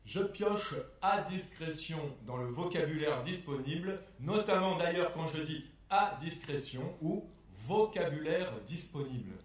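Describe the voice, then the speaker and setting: A man delivering a scripted spoken exposé in a measured, methodical way, setting phrases apart like quotations. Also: on the high side, lively intonation, far from the mic. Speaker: performer in a spoken-word performance lecture. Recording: echoey room, picked up from afar.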